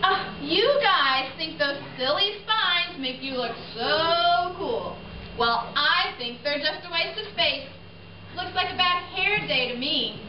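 Lady doing a bossy bookish girl hermit crab, high voice, sarcastic, puppet show, muffled echoey room. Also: animated, slow pace, background noise. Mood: scornful. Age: young adult. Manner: sarcastic, exclamatory. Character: bookish, teasing know-it-all female hermit crab, young. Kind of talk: children's educational puppet show acting. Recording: echoey room, distant mic, muffled sound.

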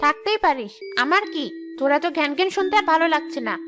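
A woman's voice: high voice, expressive, fast, over music. A woman voicing a brash, greedy village ghost in a cartoon, with a blunt, grumbling, boastful manner. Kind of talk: animated cartoon dialogue.

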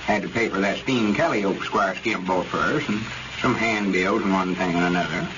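An actor playing a worried, self-important old country store owner in folksy rural dialect, in 1930s radio comedy style. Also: male voice, low voice, moderate pace, close to an everyday voice. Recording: muffled, noisy old radio recording.

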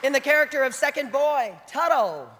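A woman's voice: high voice, animated, moderate pace. A woman in her thirties at a podium mic on a large theater stage, introducing the cast and calling out names with emphasis. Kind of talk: live event announcing. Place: large theater stage before a big audience. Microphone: podium mic on stand. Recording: echoey hall, audience noise. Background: crowd cheering.

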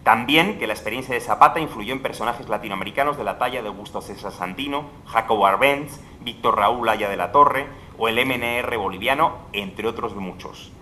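A middle-aged man reading a formal academic lecture at a panel table mic in a large hall, measured and emphatic, dropping his voice at phrase ends. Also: mid-range voice, pushed and bright, slight background noise. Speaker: conference speaker. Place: large legislative hall, indoors. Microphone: table microphone at a panel.

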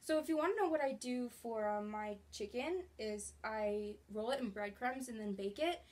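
A woman's voice: a little high, moderate pace, darkish tone. A young woman casually chatting through a grocery haul in her small apartment, bubbly and gesturing as she talks, recorded on a camera a few feet away. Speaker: vlogger. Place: small studio apartment bedroom, indoors.

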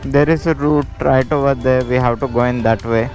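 This is a man with an Indian accent and a mid-range voice, narrating a casual motovlog. He talks in a halting way, circling back and repeating phrases. Music plays clearly behind him throughout. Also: medium pitch, steady tone, a little mellow. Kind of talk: casual travel vlog narration. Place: outdoors on a motorbike road trip.

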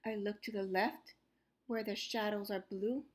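A woman storyteller reading a rhyming children's picture book aloud expressively, with deliberate, dramatic phrasing and emphasized words. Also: medium pitch, steady tone.

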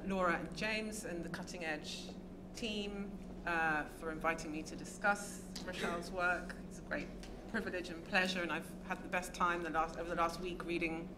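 A woman responds to a lecture as a discussant on stage. Her voice sits a little low and she speaks hesitantly with frequent ums. The hall recording carries steady background noise. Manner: hesitant, many ums, warm thanks. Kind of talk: academic panel remarks.

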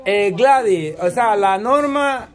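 A man's voice: high voice, expressive, takes it slowly. A man with a Salvadoran accent, teasing and questioning a group, often talking over them. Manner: teasing, talks over others. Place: outdoor covered hall with a crowd. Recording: noisy crowd around.

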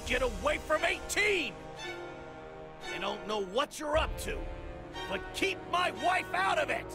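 A woman's voice: high voice, sing-song, measured pace, music in the background, full character voice. A woman voicing a small, earnest, hot-headed male martial artist in an anime game, with emphatic, exclamatory delivery. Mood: defiant, pleading. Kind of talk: anime game dub acting.

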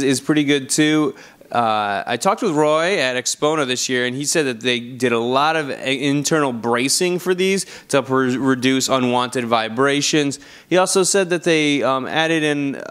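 Clear-voiced man in casual review voice-over. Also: mid-range voice, fairly bright tone. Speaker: product reviewer, channel host.

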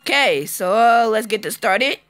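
A man with a hoarse, fading voice giving casual let's-play commentary, halting with ums and throat-clearing, recorded on a phone. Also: sits high, bright, forceful tone, no room sound. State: hoarse, losing his voice.

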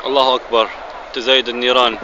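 A man's voice: mid-range voice, little pitch movement, very fast, highs cut off, a crowd in the background. A man giving eyewitness narration outdoors in short, repeated, solemn declarations. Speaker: amateur cameraman, eyewitness. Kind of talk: eyewitness narration of filmed footage. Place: outdoors in an open field near a large fire. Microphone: handheld phone camera. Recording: noisy outdoor phone recording.

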